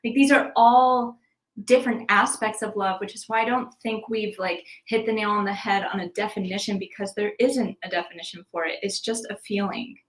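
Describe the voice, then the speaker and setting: A woman's voice: mid-range voice, fairly even intonation, normal speed, echoey room, low-quality recording. A woman chatting as a podcast guest in a bubbly, giggly and playful way. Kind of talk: casual interview chat.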